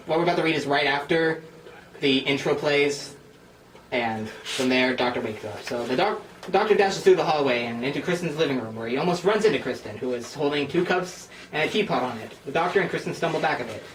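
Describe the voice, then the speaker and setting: A man with a slightly high voice chats casually as he hosts a script reading, poking fun at himself. He sits back from the mic in a roomy space, switching between explanation and read-out stage directions. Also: a little fast. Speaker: host of a script-reading session with friends. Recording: roomy, distant mic with faint noise.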